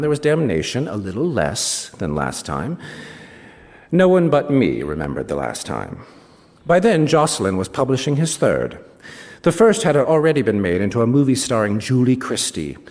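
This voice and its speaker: An actor playing a wry male British novelist, reading a first-person literary story in an expressive, savouring way with small asides. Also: medium pitch. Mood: wry, confiding. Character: wry male British novelist recounting a rivalry with his friend. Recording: reverberant hall, stage reading.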